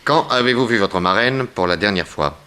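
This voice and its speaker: An actor playing a composed, methodical detective in a 1960s French radio drama, brisk, polite and authoritative. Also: male voice, fairly low pitch, expressive, bright, forceful tone. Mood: probing. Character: composed, methodical male detective questioning witnesses. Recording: noisy vintage radio recording.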